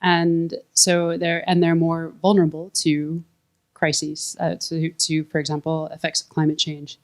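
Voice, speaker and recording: A woman with a low, level voice talks on a panel, hesitating between phrases and filling gaps with "uh". Her pitch barely moves across a sentence. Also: monotone. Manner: halting, many fillers. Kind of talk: panel talk at a live event.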